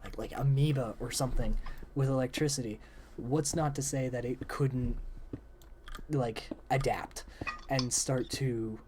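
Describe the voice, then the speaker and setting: A man's voice: mid-range voice, steady tone, measured pace, a little mellow, a little hiss or hum. A young man chatting casually about story ideas with a friend while gaming, rambling with many fillers, his voice cracking and wearing out. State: losing voice, voice cracking.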